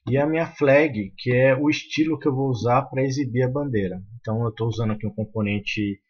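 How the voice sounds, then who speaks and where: Guy walking through code, kinda husky, lowish voice, muffled mic. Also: a little fast. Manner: explains step by step with many fillers and restarts. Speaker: programming tutor. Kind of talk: screen-recorded coding tutorial. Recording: muffled lo-fi sound.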